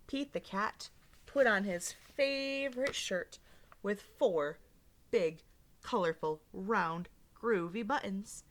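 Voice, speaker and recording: Lady teacher reading a picture book to little kids, slow and patient, mid pitched voice, asks questions as she goes. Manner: animated, expressive storybook delivery with simple explanations and questions. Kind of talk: interactive read-aloud lesson with questions to listeners.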